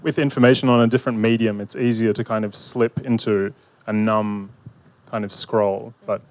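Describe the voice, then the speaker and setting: A man's voice: lowish voice, little pitch movement, normal speed. A young man facilitating a panel outdoors, answering thoughtfully with many fillers and restarts into a handheld mic. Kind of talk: hesitant panel discussion. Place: outdoor park pavilion.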